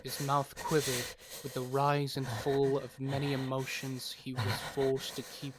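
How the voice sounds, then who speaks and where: A man playing a grave doctor who narrates in an audio drama, measured and formal. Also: mid-range voice, flat delivery, noisy background, close to an everyday voice. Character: grave male doctor recounting a troubling case.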